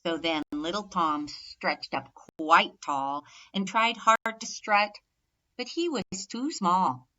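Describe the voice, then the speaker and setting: A woman reading a rhyming children's story aloud expressively, measured and emphatic on the rhymes. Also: fairly low pitch, animated, bright, forceful tone, muffled recording. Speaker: storybook reader.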